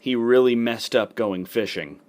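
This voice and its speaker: A man's voice: medium pitch, a little slow, darkish tone. A man giving casual step-by-step commentary on a video game playthrough. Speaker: gaming video host.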